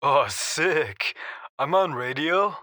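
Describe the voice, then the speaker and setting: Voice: medium pitch, animated, slow pace, sharp tone, no echo, clean studio sound. An actor playing a dazed, easygoing slacker phoning a radio show in an audio drama, slangy and hesitant. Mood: dazed, pleased.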